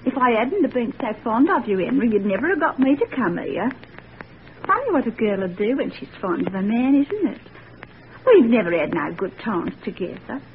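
A woman's voice: fairly high pitch, big pitch swings, moderate pace. An actress playing an anxious, devoted young working-class village girl, breathless and pleading. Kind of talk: 1940s radio acting. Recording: tinny old radio broadcast, faint hiss.

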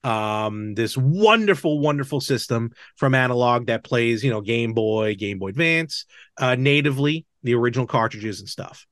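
A man's voice: mid-range voice, lively intonation, moderate pace, fairly bright tone, no echo, studio quality. A man hosting a podcast, chatting casually and enthusiastically about a hobby. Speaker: podcast host. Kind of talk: casual podcast chat about retro games.

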